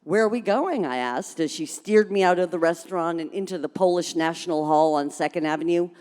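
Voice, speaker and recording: A woman reading a humorous story aloud at a live event, expressive and dramatic, in a reverberant hall. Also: low voice, brisk, clear, resonant tone. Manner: expressive, acts out dialogue.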